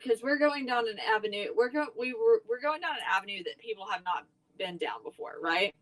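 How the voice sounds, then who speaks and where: A woman's voice: fairly high pitch, lively intonation, a little fast, fairly bright tone, no room sound, rough recording. A woman talking casually as a panelist in a group chat, often rephrasing as she goes. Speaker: stream panelist.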